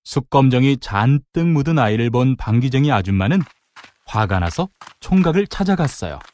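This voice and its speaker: A man narrating a children's folktale in an animated, dramatic way with playful sound words. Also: medium pitch, normal speed. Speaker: storyteller, narrator. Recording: music behind the voice.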